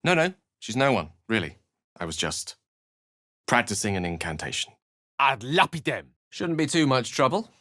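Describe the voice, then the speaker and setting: A man's voice: medium pitch, big pitch swings, normal speed, sharp tone, dry recording, clean studio sound. An actor playing an eloquent, scholarly male wizard in a video game, articulate, theatrical and wry. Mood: animated, bantering. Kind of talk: video game voice acting, dramatic narration and dialogue.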